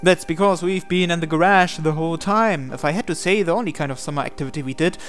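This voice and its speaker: A man's voice: on the high side, fast, a bit bright. A man reading a visual novel aloud in a narrated let's play, adding asides and occasionally stumbling over the text. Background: game music.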